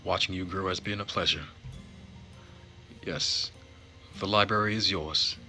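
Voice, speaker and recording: A man voicing a gentle, earnest, quietly sorrowful young nobleman in a visual novel, speaking formally and in measured phrases. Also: sits low, exaggerated voice. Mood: kind. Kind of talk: visual novel character read-aloud. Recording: music behind the voice.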